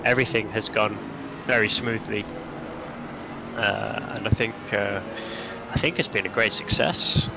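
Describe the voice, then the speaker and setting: Halting man commentating a sim race. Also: lowish voice, fairly even intonation, vocal fry, background noise. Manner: halting, many restarts and fillers. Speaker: sim racing charity stream commentator. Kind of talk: casual live commentary. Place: lives in Denmark.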